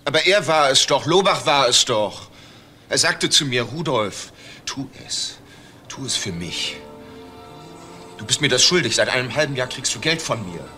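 A man's voice: mid-range voice, animated, moderate pace, bright, forceful tone, faint music behind. A man playing a tormented, pleading murderer who confesses with anguished repetition in a crime drama. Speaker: actor playing a confessing culprit.

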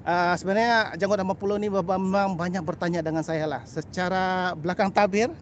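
Middle-aged male vlogger, Sabahan Malay accent, high-pitched voice, halting casual delivery, faint outdoor noise behind. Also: steady tone, lo-fi sound. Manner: halting, repeats phrases, frequent "ah" and "lah" tags. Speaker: birding vlogger. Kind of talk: casual vlog chat to camera. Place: outdoors on a grassy path by a canal.

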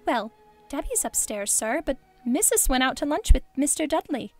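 An actress playing a deferential, fluttery household servant in a radio-style drama. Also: female voice, high-pitched, big pitch swings, normal speed, darkish tone, soft background music. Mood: uneasy.

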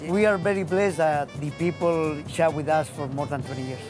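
A man's voice: on the high side, fairly quick, over music. A middle-aged man who owns a market, talking in a plain, friendly way with some hesitant fillers, on a lapel mic in a store and kitchen. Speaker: market owner. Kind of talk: casual interview. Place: grocery store and restaurant kitchen.

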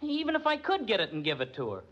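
An actor playing a brash, loyal young hepcat who talks eager jive slang in 1940s radio style. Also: male voice, high voice, wildly swooping pitch, fast talker, a bit bright, highs cut off, heavily acted. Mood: protesting. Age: young adult.

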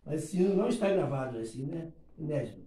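A middle-aged Brazilian man giving an informal testimony at a home worship gathering in halting, repetitive phrases, recorded by a phone across a small room. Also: fairly high pitch, lively intonation, echoey room, recorded from a distance, low-quality recording. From Rio de Janeiro, Brazil. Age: middle-aged, around 50s. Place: small living room, indoors. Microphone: phone held a few metres away.

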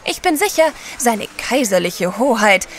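Eine Schauspielerin spielt in einem Hörspiel eine vornehme, liebevoll neckende Ehefrau eines Gentlemans. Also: female voice, a little high, very animated, unhurried, a little hiss or hum. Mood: wry. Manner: polite, playful. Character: refined, teasing, affectionate gentleman's wife. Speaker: actor in an audio drama. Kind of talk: German audio drama acting.

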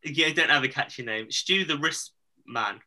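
A man's voice: a little high, moderate pace, bright, forceful tone. A man in his thirties hosting a casual video-call chat, rambling and laughing often. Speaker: podcast host. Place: home room, indoors.

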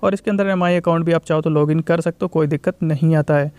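Young male tech reviewer. Very quick delivery, smooth clear tone, informal and explanatory. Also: fairly high pitch, steady tone, darkish tone, dry recording. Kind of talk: phone software review voice-over.

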